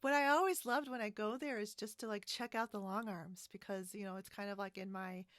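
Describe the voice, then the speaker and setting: An American woman hosts a casual interview in a conversational, wandering way, feeling out her phrases as she goes. Her voice sits at a middle pitch and is recorded dry, with very little room sound. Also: steady tone, a little fast, fairly bright tone, no room sound. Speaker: podcast host. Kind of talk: casual interview chat about a hobby. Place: remote split-screen video call.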